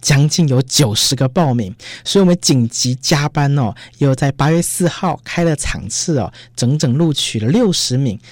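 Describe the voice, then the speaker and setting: A radio host chatting directly to listeners in an announcing style, ending many phrases with soft particles. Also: mid-range voice, lively intonation, moderate pace, few pauses, no room sound. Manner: frequent sentence-final particles, addresses listeners directly.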